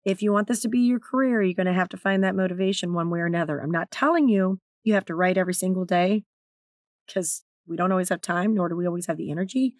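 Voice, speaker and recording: A woman with a naturally raspy, slightly strained voice, hosting her own podcast in a candid, chatty way. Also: on the low side, quick pace, dry recording, studio quality. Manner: candid, chatty, self-deprecating. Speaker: podcast host and author. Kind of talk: solo podcast talk.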